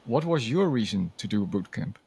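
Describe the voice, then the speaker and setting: A man's voice: on the low side, big pitch swings, brisk, gravelly, warm tone, breathy edge, no room sound. Polite male podcast host. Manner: polite, asks prepared questions. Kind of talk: podcast interview.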